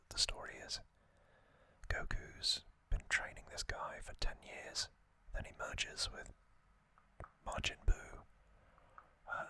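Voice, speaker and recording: Male ASMR host; breathy full whisper, flat contour, halting unscripted commentary. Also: very high voice.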